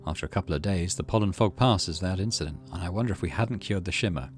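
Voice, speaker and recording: A man's voice: low-pitched, fast, faint music behind. A man doing let's play commentary, reading story text aloud and muttering through his decisions as he thinks aloud. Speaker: game streamer, let's play host.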